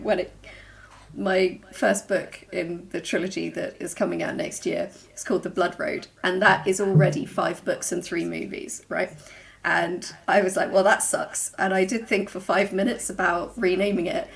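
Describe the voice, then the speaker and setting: Chatty woman author, quick and laughing. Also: lowish voice, fairly even intonation, vocal fry, rough recording. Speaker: author guest. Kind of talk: casual livestream chat.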